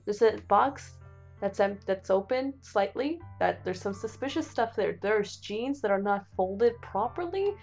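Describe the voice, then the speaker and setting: A woman's voice: medium pitch, expressive, measured pace, darkish tone, muffled recording, soft background music. A young woman hosting a let's play, reading the game's text aloud and reacting casually, with frequent swearing. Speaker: gaming channel host.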